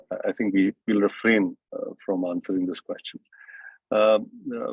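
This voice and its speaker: A man's voice: on the low side, vocal fry, husky, no echo. A man speaking as a company executive, giving guarded, hesitant answers on an online earnings call. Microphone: online conference call. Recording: dull lo-fi call audio.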